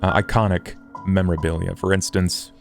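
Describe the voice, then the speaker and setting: A man playing a scholarly, formal vampire in a tabletop game, speaking in measured, wordy phrases over music. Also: little pitch movement. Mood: earnest, explanatory. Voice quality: low, gravelly fry. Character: scholarly, formal, morose male vampire occult theorist. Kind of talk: in-character tabletop roleplay.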